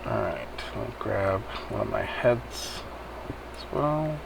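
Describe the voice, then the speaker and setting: A man's voice: on the low side, animated, slow, creaky. A man giving casual gameplay commentary, muttering his way step by step as he thinks aloud on a noisy home recording. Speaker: gamer hosting a server event.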